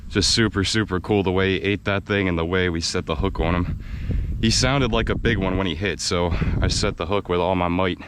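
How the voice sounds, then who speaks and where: A man with a low, slightly raspy voice talks casually from a kayak, excited and chatty. He has an American accent. Faint wind and water noise sit under him. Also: fairly quick. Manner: excited, chatty, laughs often. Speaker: fishing video host. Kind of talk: casual on-the-water vlog narration. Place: outdoors on a kayak in a windy swamp.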